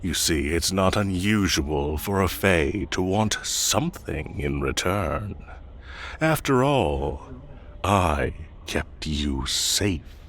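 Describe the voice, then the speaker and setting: A man acts out a possessive fae king in an audio roleplay, speaking extremely slowly in a lowish voice. He is smug and condescending, coaxing with quiet menace and theatrical relish, over faint background noise. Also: animated, full character voice. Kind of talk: roleplay audio addressed to the listener.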